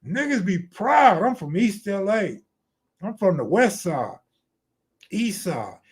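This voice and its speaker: A man's voice: high voice, very animated, slow pace. An American man hosting a live stream, chatting casually in slangy, profane, streetwise talk.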